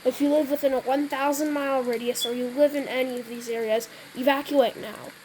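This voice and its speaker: A woman's voice: sits high, steady tone, a little fast, a little mellow, slight background noise, rough recording. A woman role-playing through a game, moving between casual remarks and improvised announcements. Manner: stumbles over read-out wording, often clears throat. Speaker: gamer playing out an emergency alert scenario. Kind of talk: casual gameplay role-play with improvised mock weather bulletins.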